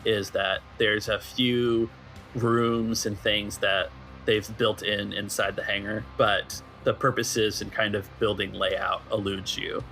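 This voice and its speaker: A man narrating a tabletop roleplaying game as game master, setting scenes in a halting, conversational way. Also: fairly low pitch, sharp tone, poor audio.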